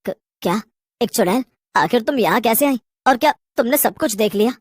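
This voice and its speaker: A woman's voice: fairly high pitch, lively intonation, normal speed, no echo, clean studio sound. A woman voicing a greedy, guilty man turned reluctant, grumbling ghost in a horror cartoon story. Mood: frightened, pleading. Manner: nervous, protesting, then grudgingly obedient.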